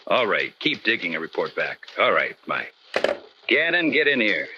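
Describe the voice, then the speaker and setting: An actor playing a gruff, impatient police detective in an old-time radio crime drama, curt and suspicious. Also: male voice, deep voice, big pitch swings, moderate pace, dull audio, background noise. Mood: curt, businesslike.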